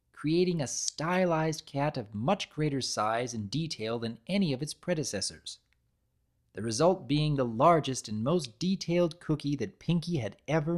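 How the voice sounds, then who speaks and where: Animated man narrating a story. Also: a little high. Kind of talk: dramatic reading of fan fiction, scripted storybook narration.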